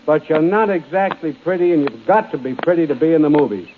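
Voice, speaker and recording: A man's voice: fairly high pitch, lively intonation, fast, slight background noise. An American man emceeing a 1930s radio variety show as an exasperated straight man. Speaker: master of ceremonies. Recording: tinny, band-limited 1930s radio recording.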